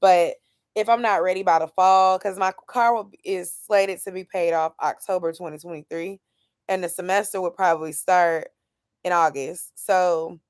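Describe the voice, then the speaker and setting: Woman, late 20s, casual vlog check-in, lowish smooth voice, dry close sound. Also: no echo. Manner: candid, thinking aloud about plans, reads chat comments. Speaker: YouTuber doing a weekly check-in. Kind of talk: casual chat with a live chat.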